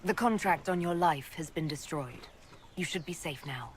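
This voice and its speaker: A woman playing a composed, reassuring leader in a fantasy video game, talking in a calm, direct and measured way over background noise. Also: sits low, close to an everyday voice. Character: composed, reassuring female military-religious leader. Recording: clear background ambience noise.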